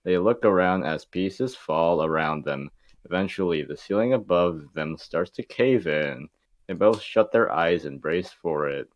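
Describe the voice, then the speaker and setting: A man's voice: deep voice, lively intonation, unhurried, dry recording. A man reading stage directions as the narrator of an amateur table read.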